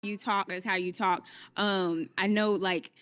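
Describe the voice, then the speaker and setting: A woman's voice: mid-range voice, fairly even intonation, unhurried, fairly bright tone. A woman in her thirties, a dating show contestant, explaining herself hesitantly with many fillers. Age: 30s. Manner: hesitant, filler-heavy, laughs nervously. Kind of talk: casual explanation.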